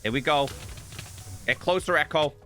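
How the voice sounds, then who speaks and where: A man giving game showcase commentary as a video host, in an expressive, emphatic presenter's delivery. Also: a little high, moderate pace. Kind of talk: game showcase commentary, part scripted narration, part live reaction. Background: music.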